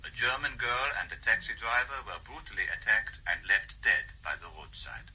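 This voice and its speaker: An actor playing a formal newsreader giving a measured Cold War radio bulletin in a drama. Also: male voice, lowish voice, lively intonation, pushed and bright, slight background noise, low-quality recording. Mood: formal, neutral.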